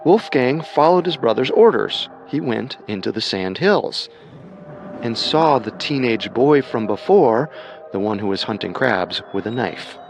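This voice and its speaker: A man narrating a scripted true-crime story with measured, dramatic storytelling. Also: medium pitch, lively intonation, soft background music.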